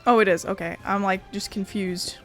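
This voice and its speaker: A woman's voice: mid-range voice, fairly even intonation, normal speed, soft background music. A woman hosting a gaming playthrough with informal commentary, as if remarking to herself. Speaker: gaming video host. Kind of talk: casual game playthrough commentary.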